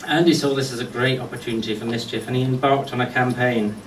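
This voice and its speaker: A man delivering a eulogy full of anecdotes, telling stories in short phrases with deliberate pauses. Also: mid-range voice, steady tone. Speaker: friend giving a memorial tribute. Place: golf club function venue at a memorial service. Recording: echoey distant mic with background noise.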